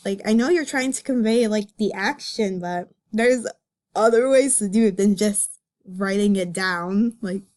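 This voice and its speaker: A woman's voice: mid-range voice, unhurried, darkish tone. A woman giving casual, playful commentary as host of a comic dramatic reading, with sarcastic, self-mocking asides.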